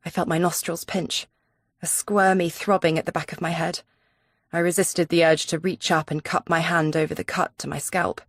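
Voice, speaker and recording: A woman's voice: on the low side, flat delivery, normal speed, no echo, studio quality. A woman narrating a suspense audiobook in the first person.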